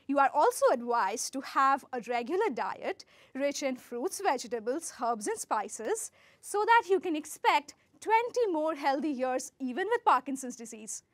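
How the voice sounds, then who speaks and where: High-pitched lively woman presenting research. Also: dry recording. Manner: earnest, rehearsed, speaks to listener directly. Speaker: graduate student presenter. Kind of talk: timed research talk.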